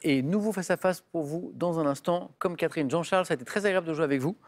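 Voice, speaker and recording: Upbeat higher-voiced male quiz host. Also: fast. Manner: upbeat, chatty, reads clues with emphasis. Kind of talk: lively game show hosting. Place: television studio.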